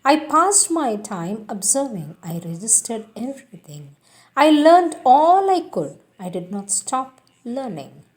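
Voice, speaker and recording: A woman reading spiritual teachings aloud in a deliberate, emphatic, devotional way. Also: fairly high pitch, big pitch swings, darkish tone. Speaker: reader of spiritual texts. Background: music.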